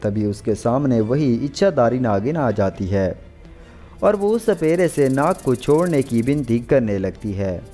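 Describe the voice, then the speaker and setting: A man's voice: mid-range voice, sing-song, measured pace, dark tone, soft background music. A man narrating a scripted children's story as a storyteller.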